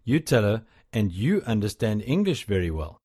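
A man guides a listener through a language lesson in scripted narration, his pitch rising and falling freely. He speaks slowly, with a slightly husky edge to his voice. His prompts come calm and measured.

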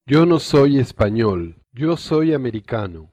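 Slow, mellow man modeling careful phrases. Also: medium pitch, sing-song, no room sound, clean studio sound. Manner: careful, slow model sentences, each said twice. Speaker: native-speaker model in a language course.

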